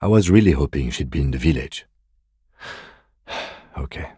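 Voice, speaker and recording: A man acting a reserved, dutiful police detective in a video game, speaking in a measured, formal, deadpan way with dry courtesy. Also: rumbling low, husky, no room sound, heavily acted. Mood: dry, composed. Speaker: voice actor.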